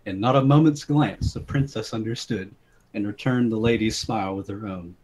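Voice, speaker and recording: A man's voice: on the low side, moderate pace, darkish tone, poor audio. A man narrating an absurd comic story as a theatrical dramatic reading. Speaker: reader of the story.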